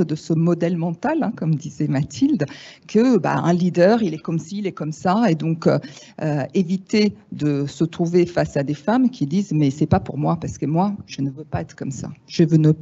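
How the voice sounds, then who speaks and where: A woman in her fifties from Italy, speaking French with an Italian accent, giving a lively, frank talk to an audience with wry asides. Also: low-pitched, husky. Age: 50s. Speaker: speaker at a panel. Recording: dull lo-fi sound.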